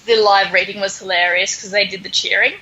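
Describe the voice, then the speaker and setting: A woman's voice: medium pitch, little pitch movement, fast, pushed and bright, muffled recording, a little hiss or hum. A woman hosting a podcast, casually recapping a story in a sarcastic, exasperated way. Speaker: podcast host.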